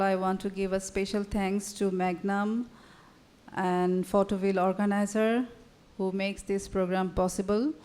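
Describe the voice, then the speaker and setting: A woman with a Bangladeshi accent giving an unscripted public talk, hesitant and formally courteous, pausing between phrases. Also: mid-range voice, darkish tone, clear, resonant tone. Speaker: panel speaker, photographer and activist.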